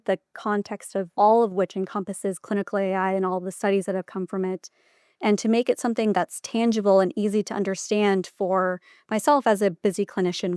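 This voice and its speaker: A woman's voice: mid-range voice, darkish tone, clear, resonant tone, dry recording, studio quality. A woman speaking as a clinician guest on a podcast, answering in reflective, explanatory interview talk.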